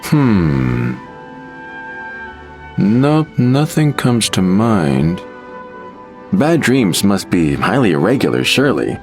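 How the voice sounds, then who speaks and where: An easygoing, absent-minded fisherman from an anime game. His voice sits on the low side and ambles along very slowly. He sounds vague and a little timid, with faint music underneath. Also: big pitch swings, a little mellow, heavily acted. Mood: blissfully carefree. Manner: vague, unhurried nonchalance. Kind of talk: anime-style video game acting.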